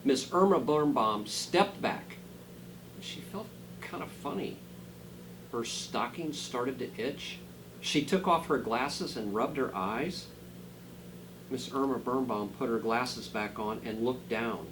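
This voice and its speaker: A man reading a children's storybook aloud dramatically, dropping into quieter asides to his listeners. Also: medium pitch, slow pace, background noise. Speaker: school superintendent reading a picture book aloud.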